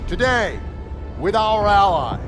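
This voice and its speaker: An actor playing a commanding army colonel in a war game, delivering a grand, solemn speech with deliberate emphasis. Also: male voice, high voice, sing-song, music in the background, exaggerated voice. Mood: stirring, solemn. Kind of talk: rousing military speech.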